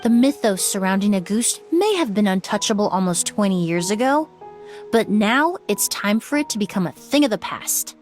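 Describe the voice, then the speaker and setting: A woman playing a composed young perfumer in a video game, measured, articulate and earnest as she explains. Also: medium pitch, expressive, close to an everyday voice. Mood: firm, accusing. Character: composed young female perfumer, earnest, firm former apprentice. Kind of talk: dramatic video game voice acting. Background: background music.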